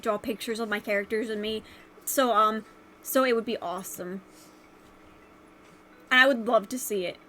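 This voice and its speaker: A woman's voice: fairly high pitch, fairly bright tone, a little hiss or hum. A young woman with a stuffy nose from a cold, rambling in a casual vlog from her bedroom with many fillers and restarts. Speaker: vlogger.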